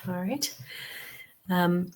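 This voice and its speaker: Sniffly woman tutor with a lowish voice. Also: very slow, darkish tone. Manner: patient, repeats key points, many "okay"s and "um"s. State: sniffly from a cold. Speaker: TEFL course tutor. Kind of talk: webinar instruction.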